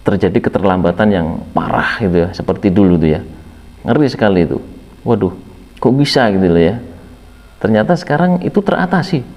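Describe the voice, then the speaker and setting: A man's voice: on the low side, gravelly, big-room sound, lo-fi sound. A man commenting on a video clip in a rambling way, with many fillers and repeated words of agreement. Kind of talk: reaction commentary.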